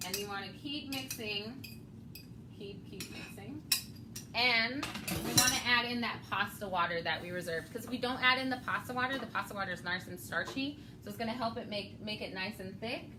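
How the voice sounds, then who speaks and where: A woman's voice: medium pitch, a little fast, very noisy. A woman hosting a casual cooking show, explaining each step and laughing often.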